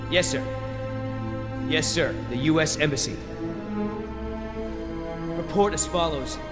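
An actor playing a ruthless, cocky military mercenary in a video game, curt and commanding. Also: male voice, fairly high pitch, takes it slowly, over loud music. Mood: brisk, formal. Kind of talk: dramatic video game acting.

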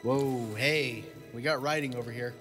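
A man plays a skeptical adventuring companion in an audio drama. His mid-pitched voice is wry and questioning, ringing with long reverb over faint music. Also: steady tone, normal speed, fairly bright tone, lightly acted.

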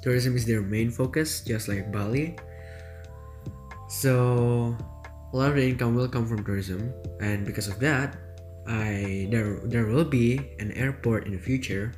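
A man's voice: mid-range voice, measured pace. A young man from Indonesia, whose native language is Indonesian, casually commenting over a city-building game with many fillers and hedges. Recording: voice-over with loud background music.

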